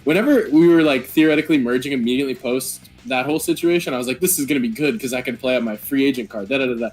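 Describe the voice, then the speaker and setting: Fast-talking young sports writer. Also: male voice, mid-range voice, breathy edge, soft background music. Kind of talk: casual confessional about game strategy.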